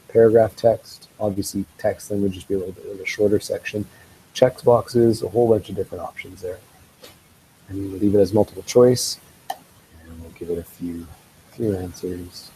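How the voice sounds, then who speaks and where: A man with a head cold, sounding congested, giving a relaxed screen-share tutorial for teachers with frequent ums. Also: lowish voice, normal speed, a little hiss or hum, rough recording. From Canada. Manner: relaxed, explanatory, with ums and uhs. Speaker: school tech trainer.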